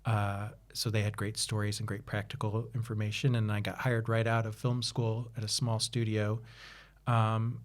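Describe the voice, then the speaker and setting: A man's voice: lowish voice, monotone, normal speed. A man, a podcast guest chatting casually, rambling with restarts and fillers and laughing easily. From United States. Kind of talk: casual interview chat.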